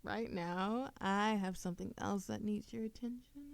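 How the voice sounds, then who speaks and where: A woman playing an affectionate, playful and easily flustered young witch in love, speaking softly and haltingly in an intimate romantic audio roleplay. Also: mid-range voice, vocal fry. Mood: flustered, loving. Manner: soft, halting, teasing, giggly.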